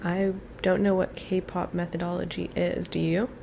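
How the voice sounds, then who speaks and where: A woman's voice: lowish voice, level tone, moderate pace, gravelly, warm tone, a little hiss or hum. A woman co-hosting a reaction video, chatty and agreeable as she gives her opinions. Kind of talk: casual reaction chat.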